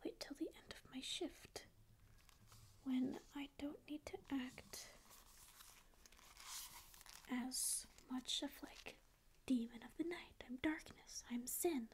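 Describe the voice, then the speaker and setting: A woman's voice: high voice, slow pace, long pauses. A young woman whispering as a prickly sleep paralysis demon who insists she doesn't care but keeps listening and reassuring, close to the mic in a small dim room. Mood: flustered, tender. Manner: whispering, curt denials that soften into reassurance. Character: prickly sleep paralysis demon, secretly caring, faux-apathetic. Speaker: ASMR roleplayer. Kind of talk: whispered ASMR roleplay. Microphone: close studio mic just off-frame.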